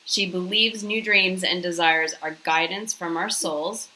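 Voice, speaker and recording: Woman host, bright and forceful, affirming, low-ish voice. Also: steady tone, measured pace, low-quality recording. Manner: enthusiastic and affirming. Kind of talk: interview hosting.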